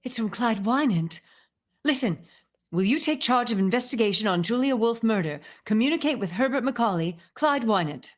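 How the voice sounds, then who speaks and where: An actress as a teasing, quick-witted wife in a 1930s radio mystery. Her voice is fairly high. She delivers her lines with wry, playful spirit. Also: expressive. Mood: eager.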